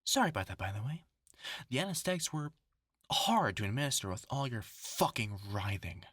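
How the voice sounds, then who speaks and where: A vengeful male test subject playing doctor in a horror roleplay, acted by a man, with a sharp, animated voice. He is commanding and sardonic, in a mock-clinical bedside manner, recorded close and dry. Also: mid-range voice, brisk, dry recording. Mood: mock-clinical menace.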